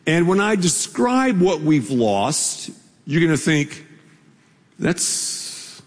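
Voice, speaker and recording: Man, pastor, slightly higher voice, slow unhurried pace, conversational storytelling sermon, long hall reverb. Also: very animated. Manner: storytelling, conversational, playful asides to the congregation.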